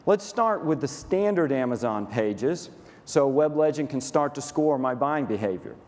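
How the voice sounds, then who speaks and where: A man with a Texas accent, a company COO walking through a software demo onstage in a dry, matter-of-fact, methodical way. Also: mid-range voice, animated, normal speed. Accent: Texan. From Texas, USA. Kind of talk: staged product demo presentation. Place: conference stage in a large hall. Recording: reverberant conference hall.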